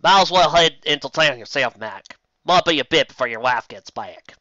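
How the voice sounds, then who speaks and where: A man's voice: a little high, animated, moderate pace, sharp tone, dry recording, dull audio. A man voicing a cheerful, friendly farm mare in an amateur storybook reading.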